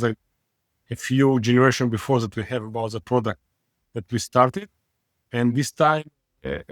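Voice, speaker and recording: Male CEO guest from Israel, frank and wry, mid-pitch, dry close sound. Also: no room sound. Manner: frank, wry, halting non-native phrasing. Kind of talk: casual interview talk.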